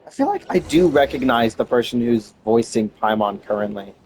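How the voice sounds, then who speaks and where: A man's voice: medium pitch, expressive, brisk, darkish tone, slight background noise, rough recording. A man giving informal, rambling gameplay commentary as a streamer, with casual swearing. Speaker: gaming streamer.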